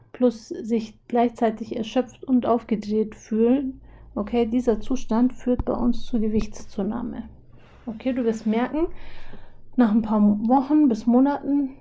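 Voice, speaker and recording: A young woman with a hoarse, husky voice from a cold, explaining a topic casually over drawings with lots of filler pauses. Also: on the high side, warm tone. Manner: chatty, many filler pauses. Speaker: video host.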